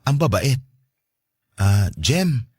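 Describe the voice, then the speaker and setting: A man's voice: mid-range voice, big pitch swings, very slow, slightly rough, dry recording, clean studio sound, full character voice. A man playing a cheerful, devoted boyfriend in his thirties in an audio drama, earnest and conversational. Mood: eager, playful. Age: 30s. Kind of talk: Tagalog audio drama dialogue.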